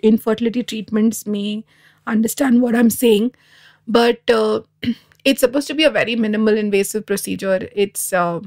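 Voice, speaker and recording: A woman's voice: medium pitch, a little mellow. A woman in her thirties from Dubai, a podcast guest sharing personal experiences in a candid, reflective way. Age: 30s. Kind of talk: personal storytelling in an interview.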